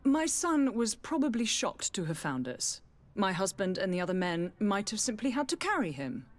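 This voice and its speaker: Woman doing snooty guarded noble lady for a fantasy game, very formal, lively up and down voice. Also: medium pitch, normal speed, natural voice. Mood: bitter, guarded. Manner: formal, haughty, indignant. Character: haughty, guarded noble lady and defensive mother. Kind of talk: dramatic period fantasy game dialogue.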